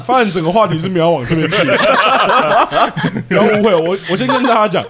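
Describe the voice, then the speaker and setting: A man with a Taiwanese accent chatting casually as a podcast co-host, in an animated, self-deprecating, jokey way. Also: high-pitched, a little fast, a loud crowd. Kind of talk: casual chat.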